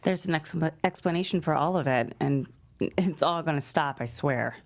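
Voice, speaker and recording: A woman's voice: deep voice, moderate pace. An actress reading an earnest adult daughter pleading with her father. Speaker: actor in a table read.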